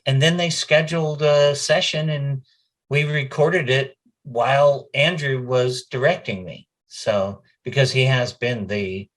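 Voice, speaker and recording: A man with an unhurried pace and a middling pitch talks casually as an interview guest. He tells stories in a conversational way, slipping in bits of quoted dialogue as he goes. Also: fairly even intonation, fairly bright tone.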